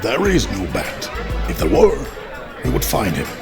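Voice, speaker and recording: A man's voice: fairly low pitch, huge pitch swings, measured pace, music in the background, a crowd in the background, exaggerated voice. A man voicing a stern, dismissive male police commissioner in an audio drama.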